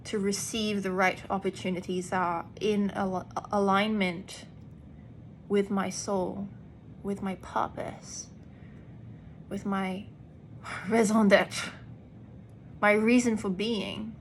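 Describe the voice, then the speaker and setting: A woman actor talks slowly and earnestly in a personal vlog, pausing between phrases for emphasis. Her voice sits at a middle pitch. Faint background noise runs under her speech. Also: flat delivery. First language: English. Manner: earnest, deliberate, with emphatic pauses. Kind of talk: reflective personal vlog monologue.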